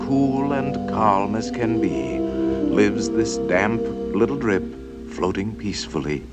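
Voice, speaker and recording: A man narrating an animated science film in a scripted, theatrical storytelling style. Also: on the low side, slow. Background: music.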